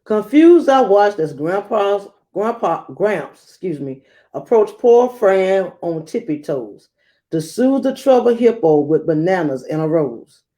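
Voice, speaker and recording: A woman reads a children's picture book aloud in a mellow, mid-pitched voice. She takes her time with the rhymes and leans on words for theatrical effect, leaving dramatic pauses. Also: big pitch swings. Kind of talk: expressive rhyming storybook read-aloud.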